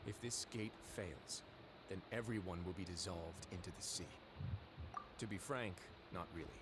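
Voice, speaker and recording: An actor playing a calm, wry duke in a video game, speaking in a measured, composed way. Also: male voice, sits low, very noisy, natural voice. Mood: grave, composed. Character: calm, wry male duke ruling an underwater prison fortress. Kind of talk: scripted video game dialogue and storytelling.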